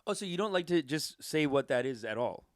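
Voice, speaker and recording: A man from Canada co-hosting a podcast, telling stories in a joking, animated way. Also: fairly high pitch, dry recording, clean studio sound. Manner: animated, joking, fast storytelling. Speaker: podcast co-host and comedian. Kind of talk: casual podcast chat.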